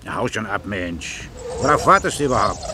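A man's voice: lowish voice, big pitch swings, a little fast, background noise. An actor playing an officious, self-important older watchman in a German radio drama, stern and blustering. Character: officious, self-important male watchman guarding a site gate.

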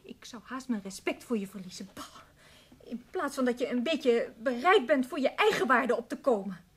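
An actress playing an exasperated, sharp-tongued middle-aged wife in a radio play, with an emphatic, scolding delivery. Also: female voice, a little high, animated, normal speed, husky. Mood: angry, hurt. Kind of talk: Dutch radio drama acting.

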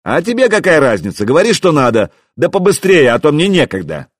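A man voicing a defiant, mocking warrior chieftain who calls out loudly across a distance in an audiobook. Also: medium pitch, animated, slow, no echo. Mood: brash, defiant. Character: defiant, taunting young warrior chieftain shouting challenges.

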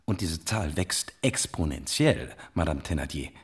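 An actor playing an emphatic, fast-talking journalist in a radio drama, with a husky voice. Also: male voice, a bass voice, very animated, heavily acted.

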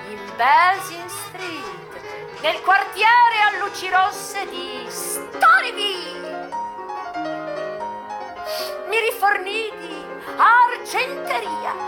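A woman's voice: very high voice, exaggerated voice. An actress playing a brazen, worldly American brothel madam in a theatrical radio monologue, drawing out her words and savouring every list. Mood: proud, brisk. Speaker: stage actress. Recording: music playing behind the voice.

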